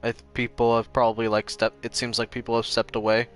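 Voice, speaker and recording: A man's voice: medium pitch, monotone, fast, soft background music. A man chatting casually and a bit ramblingly to viewers while livestreaming a video game. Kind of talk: casual chat to stream viewers.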